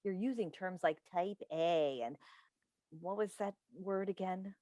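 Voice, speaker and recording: A woman's voice: on the low side, a little slow, warm tone, dry recording, studio quality, close to an everyday voice. A woman in a staged play reading, playing a chatty, coaxing radio call-in host and doting, meddling mother with an emphatic theatrical delivery. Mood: coaxing, teasing. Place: indoors on a video call. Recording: recorded over video call.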